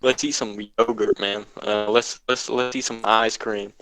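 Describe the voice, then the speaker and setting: A man's voice: lowish voice, level tone, unhurried, a bit bright, clear, resonant tone, no room sound, low-quality recording. Guy doing a drawly laid-back surfer dude voice, mocking, dry mic.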